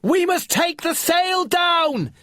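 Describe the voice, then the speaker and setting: A brave, practical young boy sailor, as a man reads him aloud, raised high and bright above the man's own voice. He calls out urgently as if over a howling storm, with a slightly husky grain. The sound is close and dry, like a storybook recording. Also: wildly swooping pitch, moderate pace, no room sound, full character voice. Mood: shouting.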